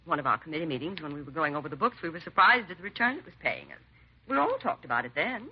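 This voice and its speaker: A woman's voice: sits low, very animated, fast talker, slightly rough, exaggerated voice. A woman acting a genteel, dignified and trusting charity hostess in a 1950s radio drama, with a proper, hesitant manner. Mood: composed, chatty. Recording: muffled, noisy 1950s radio recording.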